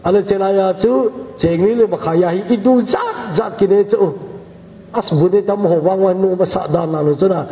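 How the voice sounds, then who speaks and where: An older man from northeast India preaching a measured, unhurried sermon as pastor in a church hall. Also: high-pitched, warm tone. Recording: echoey church hall, dull lo-fi sound, faint hiss.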